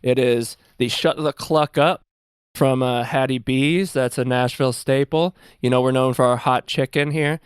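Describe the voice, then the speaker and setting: A man's voice: medium pitch, fairly even intonation, moderate pace, smooth, no echo, studio quality. A man hosting a podcast in casual, informal chat, with slangy and profane talk. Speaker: podcast host.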